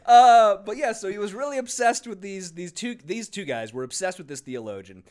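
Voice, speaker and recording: A man's voice: sits high, lively intonation, moderate pace, darkish tone, smooth. A man co-hosting a casual podcast about a book, sarcastic and swearing freely, laughing often. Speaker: podcast co-host.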